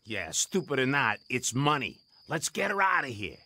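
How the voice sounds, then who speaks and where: A man's voice: medium pitch, big pitch swings, normal speed, heavy background noise. A man playing a bossy, gleeful small-time crook in a radio drama, with slangy, commanding talk. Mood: gleeful, brisk.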